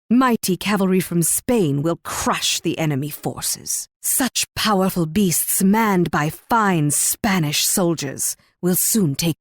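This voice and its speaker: A woman's voice: on the low side, dry recording. The imperious Spanish monarch of a strategy game, issuing commands from her throne in sweeping, melodic condescension, recorded bone-dry. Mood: regal, assured. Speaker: video game character voice actor. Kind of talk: scripted strategy game voice lines.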